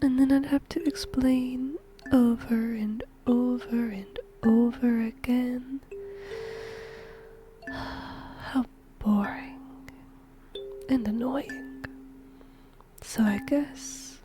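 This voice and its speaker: A woman playing a whimsical death god in a whispered ASMR roleplay, gentle, musing and playful, breathy and close to the microphone. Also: on the high side, fairly even intonation, extremely slow, pauses often, over music. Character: whimsical female shinigami death god fond of humans.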